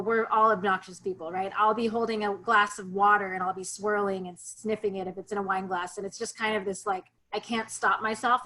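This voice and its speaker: A woman's voice: medium pitch, bright, forceful tone, poor audio. Chatty woman on a video call. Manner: chatty, frequent ums and restarts. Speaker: sake expert guest. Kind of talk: casual virtual tasting talk.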